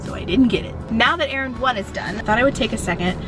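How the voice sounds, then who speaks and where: A young woman chatting casually as a book vlogger, expressive and a little self-deprecating. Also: a little high, quick pace, over music. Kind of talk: casual vlog chat.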